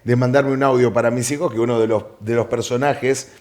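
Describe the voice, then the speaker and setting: A man's voice: medium pitch, steady tone, brisk, a little mellow. A man, chatting casually as the interviewer. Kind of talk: casual chat.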